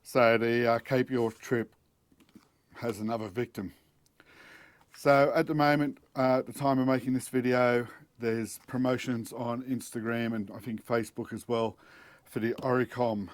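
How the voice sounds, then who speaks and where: A middle-aged man with an Australian accent, giving a casual, matter-of-fact DIY walkthrough as a vlog host. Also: medium pitch. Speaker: host of a DIY four-wheel-drive channel. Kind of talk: casual unboxing and installation walkthrough. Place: outdoors in a backyard, later inside a car cabin.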